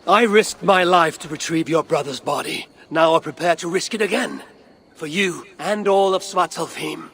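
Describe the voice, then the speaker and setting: An actor playing a proud, resolute god in a fantasy video game, speaking in a formal, commanding, measured way. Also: male voice, on the high side, creaky, fairly bright tone. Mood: firm. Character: proud, resolute god, a father seeking his son. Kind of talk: video game cutscene acting. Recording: long reverb, some background noise.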